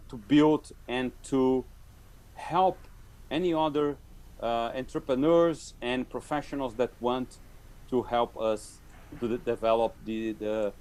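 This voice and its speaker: A man's voice: medium pitch, darkish tone. A man with a Brazilian Portuguese accent, a native Portuguese speaker, talks as a webinar guest on a video call, hesitating with many "uh" fillers. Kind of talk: webinar talk.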